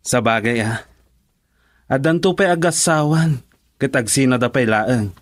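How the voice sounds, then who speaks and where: A man's voice: mid-range voice, unhurried, lightly acted. A man playing a supportive male friend giving advice in a radio drama. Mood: reassuring.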